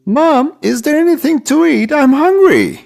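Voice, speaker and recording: An eager young storybook boy, acted by a performer, speaks in a raised, lively pitch. He sounds naive and plaintive, a lazy lad who is always hungry. Faint music plays behind. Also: measured pace, vocal fry, heavily acted. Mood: whining.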